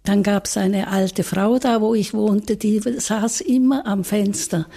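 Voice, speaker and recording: An older woman in her eighties speaks in a mellow, warm voice. Her Swiss German accent colors every line. She talks frankly and reflectively. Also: medium pitch, fairly even intonation, takes it slowly, creaky. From Switzerland. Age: 80s. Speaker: interviewee, psychologist. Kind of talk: recorded interview.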